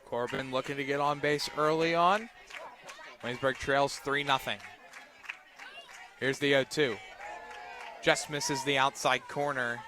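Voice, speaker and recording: A man doing live radio play-by-play of a softball game as the announcer. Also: mid-range voice, fairly even intonation, measured pace, pauses often. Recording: noisy outdoor broadcast with crowd behind. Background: crowd and outdoor ambience.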